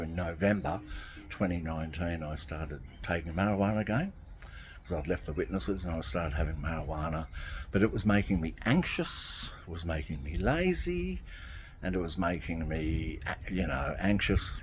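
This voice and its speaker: Very deep-voiced man in his sixties. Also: lively intonation, moderate pace, a little hiss or hum. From Australia. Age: 60s. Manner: rambling, repeats himself. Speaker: vlogger. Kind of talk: casual monologue to camera. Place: outdoors by a garden.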